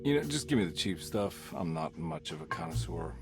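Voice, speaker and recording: An actor playing a weary, stubborn private detective in a noir video game, talking in a dry, casual way. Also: male voice, low-pitched, very animated, a little fast, darkish tone, faint music behind.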